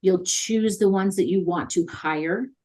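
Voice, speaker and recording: A woman's voice: medium pitch, measured pace, a little mellow. A woman guest in an interview, talking in a chatty, upbeat way with many fillers. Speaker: guest consultant on virtual assistants. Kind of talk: conversational interview.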